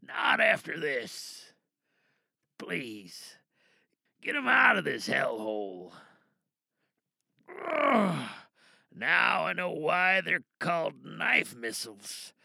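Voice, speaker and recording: A man playing a dying, bitter rebel in an audio drama, with a gravelly voice and weary, halting, pained delivery. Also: a little high, wildly swooping pitch, sharp tone, no echo, clean studio sound, heavily acted. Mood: urgent, resigned. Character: dying, bitter, angry cyberpunk rebel recording a last message.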